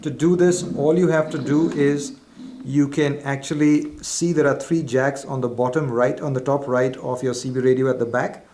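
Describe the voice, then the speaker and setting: A man's voice: mid-range voice, fairly even intonation, normal speed, mellow, a little hiss or hum. A man presenting a product in an instructional demonstration, explaining methodically step by step.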